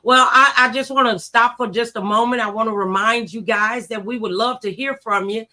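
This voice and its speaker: A woman's voice: on the high side, steady tone, moderate pace, bright, forceful tone. A middle-aged woman hosting a talk show, speaking conversationally as she interviews and reflects.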